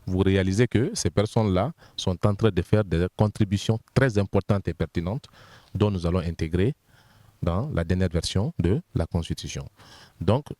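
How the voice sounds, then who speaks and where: Deep-voiced Guinean man explaining methodically. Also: darkish tone, dry recording. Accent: Guinean French. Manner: methodical, lists points one by one, repeats words while searching. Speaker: member of the constitutional body. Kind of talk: explanatory interview answers.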